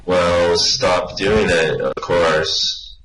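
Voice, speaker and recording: Man playing a wry, deep-voiced space traveller. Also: fairly even intonation, extremely slow, fairly bright tone, slight background noise, full character voice, low-quality recording. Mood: coaxing. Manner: persuasive, sardonic, improvising. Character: quick-thinking, wry male space traveller, coaxing and unflappable. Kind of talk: comic sci-fi radio play acting.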